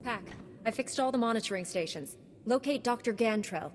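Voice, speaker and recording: An actress playing a resolute, compassionate knight heroine in a video game, composed and firm. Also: female voice, on the high side, lively intonation, a little fast, slight background noise, close to an everyday voice. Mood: determined. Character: resolute, compassionate female Jedi knight hero. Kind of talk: scripted video game acting. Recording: music behind the voice.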